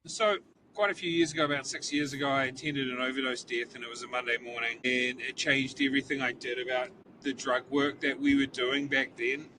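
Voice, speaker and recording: A man's voice: mid-range voice, steady tone, brisk. A man in his forties from New Zealand with a New Zealand accent, a police chief talking earnestly in a casual interview and repeating words as he thinks, recorded inside a police cruiser. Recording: distant mic, vehicle noise.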